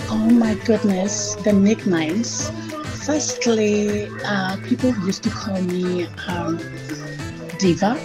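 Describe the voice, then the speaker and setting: A woman from South Africa calling in to a radio show, animated and self-mocking. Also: mid-range voice, takes it slowly. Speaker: caller. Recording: phone call into radio with loud music.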